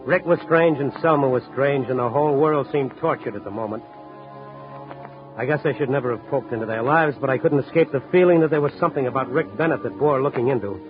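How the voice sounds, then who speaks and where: An actor playing a steady, world-weary newspaper reporter in 1950s radio drama, wry, clipped and hard-boiled. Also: male voice, mid-range voice, fast, soft background music, natural voice. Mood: brooding narration. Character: steady, world-weary male big-city night-beat newspaper reporter. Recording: muffled 1950s radio recording.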